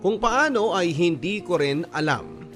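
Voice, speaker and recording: A man's voice: sits high, animated, a little slow, a bit bright, soft background music. A man playing a wounded letter sender, narrating a personal story in dramatic Filipino radio style. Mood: pained recounting.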